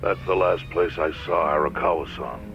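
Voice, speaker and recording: Muffled, very deep old boss on phone. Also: male voice, moderate pace. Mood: somber, firm. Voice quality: gravelly. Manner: curt, commanding. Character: grave elderly yakuza chairman on phone. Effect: phone filter. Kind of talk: dramatic game voice acting. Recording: dull phone sound, faint music.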